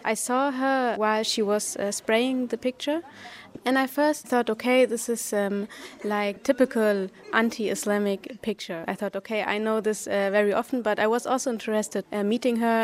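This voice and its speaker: A woman speaking thoughtfully in an interview, with fillers as she reflects. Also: on the high side.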